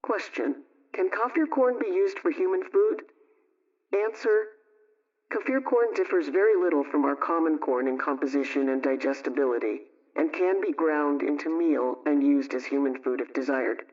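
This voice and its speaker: Guy reading 1920s radio household tips, measured and teacherly, sounds muffled and distant. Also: fairly high pitch, a little mellow. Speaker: host of a household advice programme. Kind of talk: scripted 1920s radio homemaking advice, question and answer.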